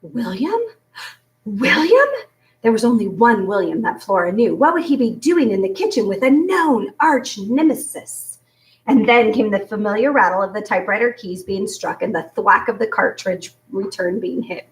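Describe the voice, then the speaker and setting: A woman's voice: mid-range voice, animated, moderate pace. Echoey woman reading a children's story aloud. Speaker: story reader. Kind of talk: expressive children's book read-aloud. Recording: roomy echo, distant from mic.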